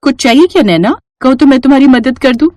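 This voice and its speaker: A woman voicing a gentle, dutiful young daughter-in-law in a family story, patient and softly reasoning, with self-respect. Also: high voice, lively intonation, quick pace, no echo, studio quality, voice pushed up. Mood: helpful, patient.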